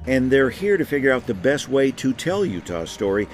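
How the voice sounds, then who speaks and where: A man's voice: medium pitch, very animated, normal speed, soft background music. A man hosting a travel show, reading scripted narration. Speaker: host and narrator.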